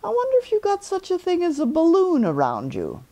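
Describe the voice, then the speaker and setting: Woman voicing a high-pitched, honey-loving little storybook bear. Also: huge pitch swings, a little fast, dark tone, exaggerated voice. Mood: earnest. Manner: singsong, exclamatory, pondering aloud.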